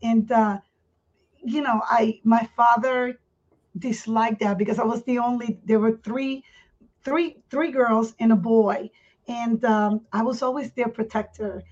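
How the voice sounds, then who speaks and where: A woman, her voice set a little high. She talks candidly as a podcast guest, circling back and restarting her phrases. The sound is muffled and dull. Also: fairly even intonation, a little mellow. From Puerto Rico. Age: 50s. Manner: candid, earnest, speaks in halting restarts. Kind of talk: personal life story in a podcast interview.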